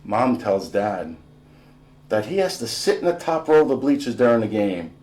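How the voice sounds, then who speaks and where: A man reading a novel in verse aloud in an expressive, storytelling way. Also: fairly low pitch, normal speed. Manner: expressive, acts out dialogue between family members. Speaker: reader from a school community.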